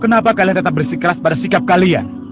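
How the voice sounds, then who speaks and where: A man plays an earnest young prophet in a dubbed cartoon, speaking in a fairly high voice at a quick clip. His pleas and warnings come through dull, lo-fi audio with music playing behind them. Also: lightly acted. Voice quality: earnest, pleading and admonishing. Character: young male prophet, earnest preacher to idol worshippers. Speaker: voice actor.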